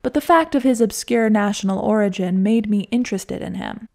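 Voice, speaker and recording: Gravelly-voiced woman narrator. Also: medium pitch, fairly quick, darkish tone. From United States. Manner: wry, reflective, self-deprecating. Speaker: podcast narrator. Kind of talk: scripted personal-story narration.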